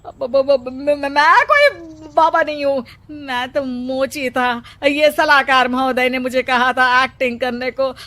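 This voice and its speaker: A cowardly cartoon cobbler, played by a woman, stammers in a high voice. He pleads nervously, tripping over repeated words. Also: slow pace, long pauses, bright, forceful tone, heavily acted. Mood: frightened, pleading. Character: cowardly cobbler posing as holy man, begging forgiveness.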